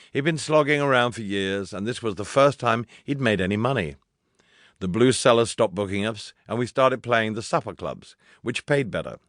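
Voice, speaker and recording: Low-pitched man narrating a wry memoir. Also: dry recording, clean studio sound. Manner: wry, dryly humorous storytelling. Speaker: audiobook narrator.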